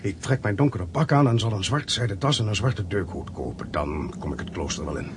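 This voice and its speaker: An actor playing a cold, calculating hitman who can turn smoothly polite, in a Dutch radio play. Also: lowish voice, lively intonation, fairly quick, natural voice. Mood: cold, businesslike. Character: cold, calculating male hitman posing as pious convert. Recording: radio play with faint background noise.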